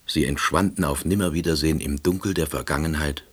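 A middle-aged, pedantic first-person narrator in a scripted radio drama. His voice is deep and husky, delivered with dry, deadpan officiousness. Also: brisk, heavily acted. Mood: wry. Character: wry, pedantic, laid-off East Berlin man narrating.

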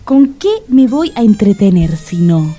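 A woman's voice: mid-range voice, big pitch swings, measured pace, warm tone, husky. A woman playing a restless, grumpy and sarcastic date in a comic radio drama, blunt and complaining. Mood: irritated, sarcastic. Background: music behind the voice.

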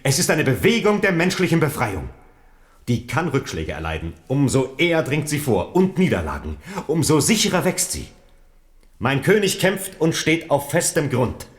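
A man's voice: fairly high pitch, sing-song, normal speed. A man playing a devout, steadfast royal envoy in a radio drama, speaking formally and earnestly, in a declamatory way. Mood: fervent. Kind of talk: German radio drama acting.

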